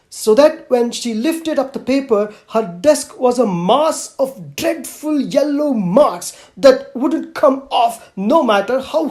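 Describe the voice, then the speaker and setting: A man voicing an aggrieved, stern female schoolteacher in an audiobook, complaining with deliberate emphasis. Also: very high-pitched, darkish tone, pitched above the performer's own voice. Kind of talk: audiobook character voice.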